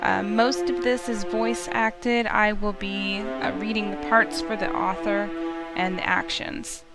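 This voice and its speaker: A woman's voice: medium pitch, level tone, measured pace. A woman streamer reading a visual novel's narration aloud like a storybook during a playthrough. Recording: loud music under the voice. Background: game music.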